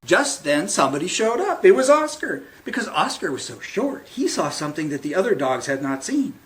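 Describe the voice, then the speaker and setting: A man reading a picture book aloud to children as a librarian at storytime, with theatrical, deliberate phrasing. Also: sits high, very animated, a little hiss or hum.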